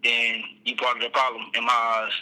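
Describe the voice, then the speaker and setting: A man, a rapper, talking about his music in a casual phone interview, laid-back and halting, often repeating words. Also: mid-range voice, monotone, pushed and bright. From Texas, USA. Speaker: rapper guest. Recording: tinny phone line.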